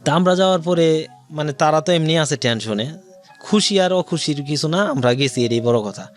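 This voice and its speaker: A man's voice: fairly high pitch, lively intonation, normal speed, soft background music. A man with a Bangladeshi accent tells his own life story as an interview guest, in detail and with quoted dialogue.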